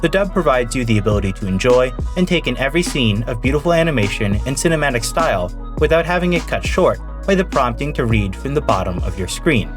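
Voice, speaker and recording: A man's voice: mid-range voice, animated, fast talker, hardly any pauses. A man reading an earnest, argumentative scripted narration for a video essay. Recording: narration over music.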